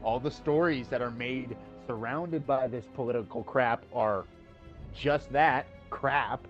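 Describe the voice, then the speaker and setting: A man's voice: mid-range voice, measured pace. A man rants in casual stream chat, swearing freely and stumbling through fillers and restarts. The audio is a bit muffled, with faint music behind him. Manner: ranting, profane, many fillers and restarts. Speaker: co-host.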